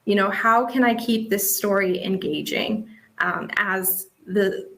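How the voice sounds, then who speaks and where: A woman's voice: medium pitch, low-quality recording. A woman presenting an interactive workshop as an editor, talking casually and peppering her explanations with "like" and "you know".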